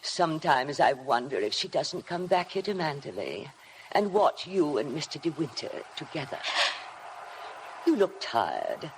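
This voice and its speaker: Sinister housekeeper, on vintage radio. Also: female voice, deep voice, big pitch swings, exaggerated voice. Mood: hypnotic, menacing. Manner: insinuating, deliberate, formally servile. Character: sinister, obsessive housekeeper, coldly devoted to dead mistress. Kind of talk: 1950s radio drama acting. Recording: noisy vintage 1950 radio broadcast.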